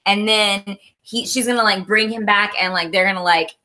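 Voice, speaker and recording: A young woman with a bright, sharp, high voice co-hosting a live book club. She rambles with a lot of giggling and makes self-deprecating asides. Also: steady tone, moderate pace. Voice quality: high-pitched voice. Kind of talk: casual live book discussion.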